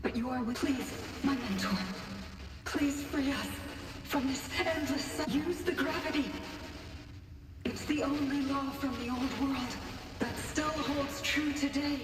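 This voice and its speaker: An actress playing a sorrowful lost soul in a video game, with a solemn, slow and haunting manner. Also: female voice, high-pitched, music in the background. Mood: pleading. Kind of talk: video game cutscene voice acting. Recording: echoey cavernous reverb, distant.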